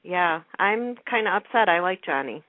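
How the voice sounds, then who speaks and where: A woman's voice: lowish voice, animated, normal speed, no room sound. A woman radio host in casual, chatty fan talk, rambling as she tells things. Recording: thin, phone-like radio line.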